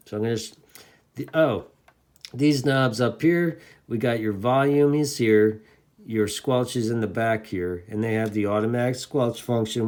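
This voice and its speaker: A man's voice: fairly low pitch, darkish tone. A man giving a casual, enthusiastic hands-on product review in informal hobbyist slang.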